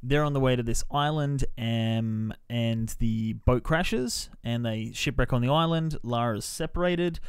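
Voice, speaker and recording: A man hosting a podcast, explaining things in a halting, informal way as part of a casual film review chat. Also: medium pitch, smooth, dry recording, studio quality.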